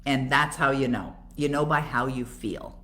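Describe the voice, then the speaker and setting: Low-pitched older Canadian woman. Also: moderate pace, fairly bright tone. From Toronto, Canada. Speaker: coach and author hosting a live Q&A. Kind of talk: conversational live Q&A coaching talk.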